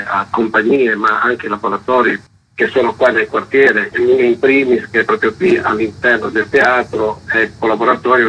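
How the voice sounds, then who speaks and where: A man with a husky voice, a theatre's artistic director, explaining a programme in an interview. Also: mid-range voice, pushed and bright. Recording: dull lo-fi radio interview audio.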